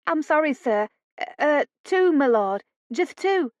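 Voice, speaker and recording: A woman's voice: extremely high pitch, animated, normal speed, darkish tone, no room sound, pitched above the performer's own voice. A woman playing a stammering, frightened young maid. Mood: nervous. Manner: stammering, timid. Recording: dull lo-fi audio.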